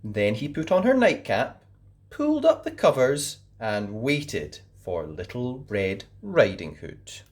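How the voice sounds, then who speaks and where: A woman reading a children's story aloud in a low, gentle voice. She takes her time with every phrase, her pitch rising and falling widely to keep young listeners hooked. The telling is warm in rhythm and expressive. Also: takes it slowly. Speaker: storyteller host.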